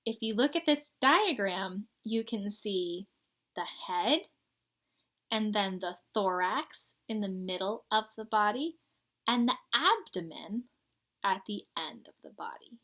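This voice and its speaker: A woman's voice: medium pitch, lively intonation, slow pace, fairly bright tone. A young woman teaching a children's lesson in a simple, instructive way that invites listeners to join in. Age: young adult. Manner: simple, instructive, encouraging participation. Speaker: children's garden class teacher. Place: living room at home, indoors.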